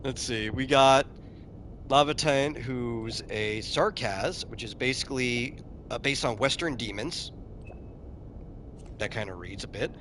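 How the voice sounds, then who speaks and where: A man's voice: medium pitch, a little slow, pauses often, a bit bright, slight background noise. A man streaming a game, chatting casually and answering his chat, often repeating a word as he starts a sentence. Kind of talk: casual commentary while playing a game.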